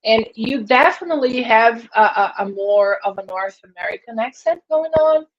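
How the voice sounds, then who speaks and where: A woman's voice: fairly high pitch, animated, moderate pace. A woman from Brazil with a Brazilian accent, an English teacher chatting casually on a live stream and often restarting her sentences. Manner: hesitant, repeats words and restarts. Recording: dull lo-fi stream audio.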